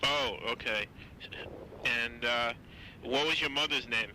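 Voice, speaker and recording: A man leads a family interview in a very bright, forceful voice. He asks short questions and echoes the answers back before moving on. Faint noise hums behind him. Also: medium pitch, takes it slowly.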